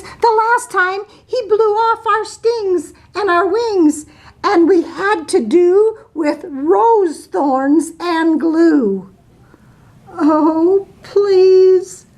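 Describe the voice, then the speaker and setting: A woman's voice: very high-pitched, drawn-out words, a little mellow, voice pushed up, exaggerated voice. A woman voicing a swarm of fussy, frantic bees.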